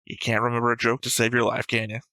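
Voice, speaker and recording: A man's voice: mid-range voice, monotone, quick pace, pushed and bright, dry recording. A man chatting casually as a reaction show host, blunt and argumentative, with frequent swearing. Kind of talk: casual chat.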